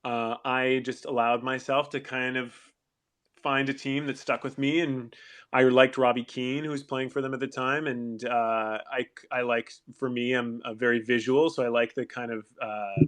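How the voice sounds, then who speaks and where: Man, mid-pitched, casual chat, thoughtful, rambling, online audio room. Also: flat delivery, normal speed. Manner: thoughtful, rambling with restarts and soft laughs. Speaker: actor hosting a fan Q&A. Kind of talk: casual audio chat answering fan questions.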